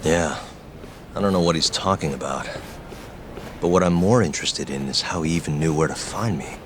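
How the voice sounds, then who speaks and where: A man's voice: extremely low pitch, normal speed, noisy background. A man acting in an English game dub as a laid-back, wry, confident detective who talks casually and unhurriedly. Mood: calm.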